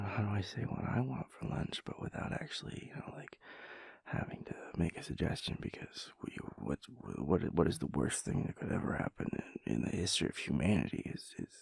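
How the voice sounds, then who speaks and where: A man acts out a sleepy, chaotic boyfriend in an intimate audio roleplay. His low voice is thick with vocal fry, and he rambles in a stammering, playfully mock-serious way as he resists getting up. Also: a little mellow, dry recording, full character voice.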